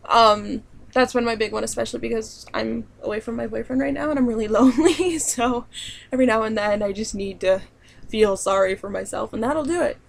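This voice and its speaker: A woman's voice: a little high, gravelly, a bit bright. A young woman from southern New York with a southern New York and central Pennsylvania accent, chatting and rambling through a casual Q&A vlog with lots of ums and likes. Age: young adult. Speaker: vlogger.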